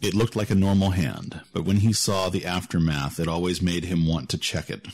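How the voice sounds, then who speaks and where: A man's voice: sits low, moderate pace, a little mellow, husky. A man narrating a scripted fantasy story as the narrator of an audio drama. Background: music.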